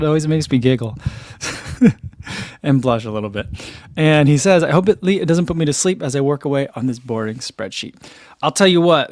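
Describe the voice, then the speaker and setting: A man's voice: fairly high pitch, no echo, studio quality. A young man with a soothing voice hosting a casual running live stream from home, chatting and reading comments aloud into a desk microphone. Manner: relaxed, chatty, laughs easily, many fillers. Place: home room. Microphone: desk microphone by computer.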